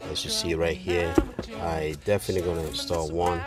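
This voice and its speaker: Male DIY car host, low voice, informal and repetitive for emphasis, music in the background. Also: level tone, fairly quick. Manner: informal, repeats phrases for emphasis. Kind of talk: casual hands-on tutorial chat.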